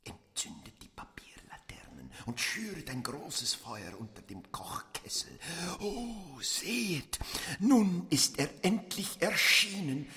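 Bossy gluttonous banquet host, radio play, slow, wildly swooping pitch, breathy stage whisper. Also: big pitch swings, bright, forceful tone, exaggerated voice. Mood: excited. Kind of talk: theatrical German radio play acting.

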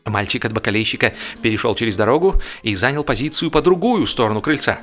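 A man narrating a humorous literary story in an expressive, measured, mock-solemn way. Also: medium pitch, faint music behind. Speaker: audiobook narrator.

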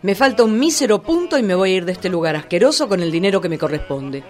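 An actress playing a furious, determined daughter with an Argentine accent, confrontational and sharp-tongued in a comic radio play. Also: female voice, medium pitch, expressive, fast talker, natural voice. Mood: scornful, confident. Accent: Argentine, Rioplatense. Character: furious, determined, scornful daughter of a cheated gambler. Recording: music under the dialogue.

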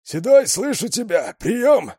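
A hoarse yelling gang boss on the radio. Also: male voice, sits high, slow, dry recording, clean studio sound, pitched above the performer's own voice, exaggerated voice. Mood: yelling excitedly. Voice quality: hoarse, wheezing. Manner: loud and excited, yelling. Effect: radio transmission.

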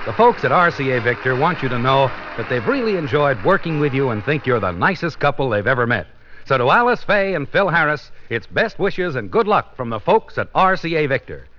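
A man's voice: mid-range voice, sing-song, fairly quick. A man with an American accent reading scripted announcements and commercials as a 1950s radio announcer, in dull old broadcast sound.

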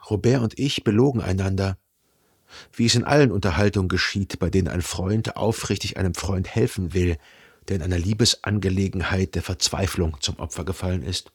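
A man playing a reflective, lovelorn narrator recalling his past in a literary radio drama, measured and contemplative. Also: sits low, slightly rough. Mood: wry reflection.

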